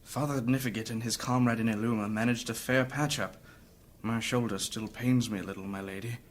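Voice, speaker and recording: A man's voice: mid-range voice, steady tone, fairly quick, natural voice. A man playing a wounded, earnest adventurer who tells his story courteously and formally in a fantasy audio drama. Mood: weary, resolute.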